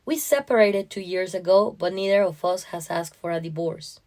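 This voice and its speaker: A woman's voice: lowish voice, moderate pace, darkish tone. Woman reading a short story expressively. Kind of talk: dramatic first-person story narration.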